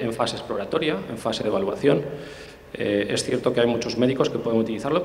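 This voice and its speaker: A man's voice: medium pitch, a little mellow, slight background noise. Hesitant man in echoey hall. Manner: thoughtful, many hesitations and restarts. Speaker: interview guest, tech specialist. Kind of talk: conversational explanation. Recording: roomy hall with long reverb.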